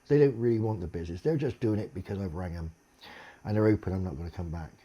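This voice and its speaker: Deep-voiced man ranting, husky and dark. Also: fast talker, highs cut off. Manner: aggrieved ranting complaint, blunt and sweary. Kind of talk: casual monologue to camera.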